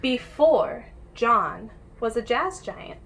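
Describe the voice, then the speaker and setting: A woman with a very bright, fairly high voice reads a picture book aloud as a teacher would for young pupils. She talks straight to the children in an encouraging way. The home-made recording has a slight background noise. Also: very animated, slow pace. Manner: addresses young listeners directly, encouraging. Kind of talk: children's read-aloud with asides and questions.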